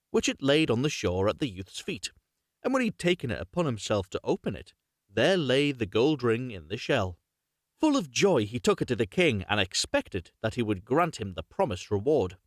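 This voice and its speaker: Guy reading storybook tales live, really lively pitch, dry close sound, chatty asides. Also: no echo. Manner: expressive storytelling, often corrects himself mid-sentence. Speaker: host reading stories aloud on a livestream.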